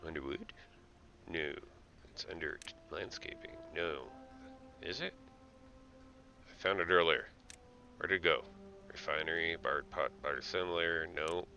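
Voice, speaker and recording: A man's voice: low voice, measured pace, pauses often, pushed and bright, muffled recording, soft background music. A man giving casual live gameplay commentary, thinking aloud, muttering to himself and tossing playful asides to the characters. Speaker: streamer playing a game.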